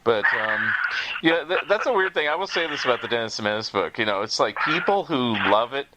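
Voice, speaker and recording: A man's voice: mid-range voice, expressive, a little fast, heavy background noise. A man hosting a casual interview show and talking as an author, in an easygoing, self-deprecating way with frequent chuckles. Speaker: podcast host and author.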